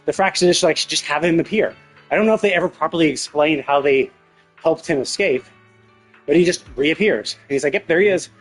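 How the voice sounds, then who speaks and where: A man streams games with a fairly high, husky voice. He talks casually but emphatically, opinionated and quick to swear. Faint music plays behind him. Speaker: game streamer. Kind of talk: casual gaming commentary rant.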